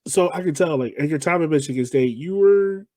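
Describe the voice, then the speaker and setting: A man's voice: fairly high pitch, brisk, dark tone, no echo, clean studio sound. An American man interviewing a guest as a podcast host in an informal, colloquial, enthusiastic way. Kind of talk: casual chat interview.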